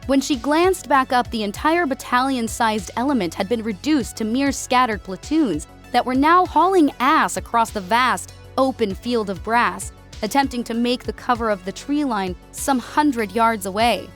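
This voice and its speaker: A woman's voice: fairly high pitch, lively intonation, moderate pace, few pauses, a bit bright, faint music behind. A woman giving a dramatic scripted narration of an action story as an audiobook narrator.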